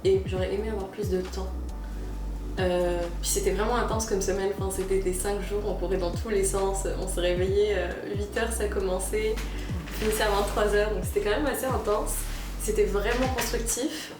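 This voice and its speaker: A young woman of Algerian origin, talking earnestly about her experience in a casual interview and pausing often with "euh". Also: mid-range voice, fairly even intonation, echoey room, far from the mic, music in the background. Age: young adult. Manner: earnest, with frequent "euh" pauses and restarts. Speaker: student interviewee.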